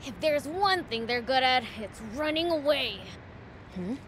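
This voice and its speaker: A woman's voice: high-pitched, expressive, unhurried, fairly bright tone, a little hiss or hum. A woman voicing a cocky, hot-headed young demon prince in a video game, brash and boastful.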